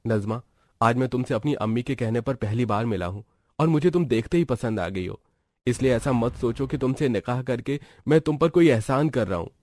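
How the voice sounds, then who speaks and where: A caring young husband played by a man in a cartoon family story, mid-pitched and earnest. He sounds gentle and attentive, closely recorded and dry. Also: fairly quick, dry recording, lightly acted. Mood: earnest, reassuring.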